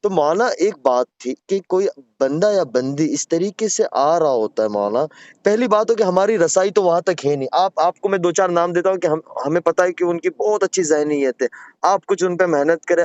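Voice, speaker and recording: A man speaks in a somewhat high voice, earnestly and respectfully making his case. He is a caller in a private conversation. The sound is a dry, muffled recorded phone call. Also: lively intonation, normal speed, darkish tone, clear, resonant tone, no room sound. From Gujarat, India. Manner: deferential, earnest, repeatedly addressing the listener respectfully.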